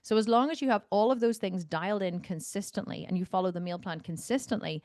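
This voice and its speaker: Woman, fitness coach, mid-pitched voice, blunt, emphatic, repetitive for stress, dry close sound, video call Q&A. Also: quick pace, a little mellow, no room sound. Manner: emphatic, insistent, repeats phrases for stress; blunt, no-nonsense.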